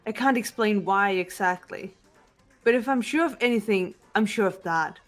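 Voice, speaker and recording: A woman voicing a cool, composed teenage girl detective in a video game, measured, serious and earnest. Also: mid-range voice, faint music behind, voice pushed down, lightly acted. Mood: reflective, earnest. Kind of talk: fan voice acting of a video game script.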